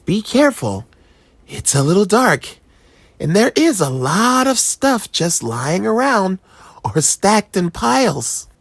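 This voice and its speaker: A storyteller voicing a boy's mother in a children's storybook, gently reminding and cautioning. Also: medium pitch, very animated, slow pace, creaky, slightly rough, no room sound, voice pushed up, exaggerated voice. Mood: gently instructing.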